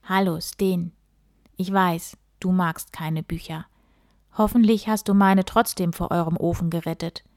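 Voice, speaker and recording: A woman's voice: lowish voice, fairly even intonation, a little slow, darkish tone, no room sound, clean studio sound. A woman reading out the words of a kindly, affectionate grandfather in a children's storybook. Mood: fond, knowing.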